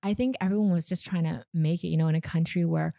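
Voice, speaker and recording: Woman, 30s, from Canada, Vietnamese first language; deep, warm, slightly gravelly, dry close sound, casual storyteller. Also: quick pace, dry recording. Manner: relaxed, chatty, frequent fillers and small laughs. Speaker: guest.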